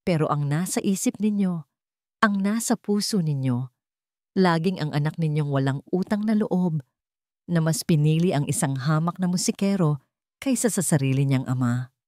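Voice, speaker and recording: A woman's voice: deep voice, moderate pace, dark tone, no room sound, natural voice. A bitter young male heir, acted out by a woman narrator in a melodramatic audio story. He protests with desperate resentment. The sound is close and dry. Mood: bitter, resentful.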